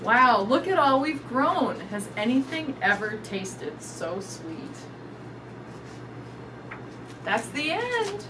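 A middle-aged woman reading a picture book aloud to young children in an animated, sing-song way. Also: sits high, slow, pauses often. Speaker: storytime reader. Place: indoors in front of a curtain backdrop. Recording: distant mic with clear background noise.

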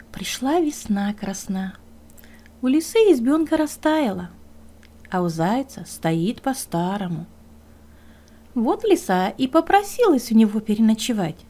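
A woman narrating a children's fairy tale as a storyteller, with expressive scripted delivery. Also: on the high side, husky.

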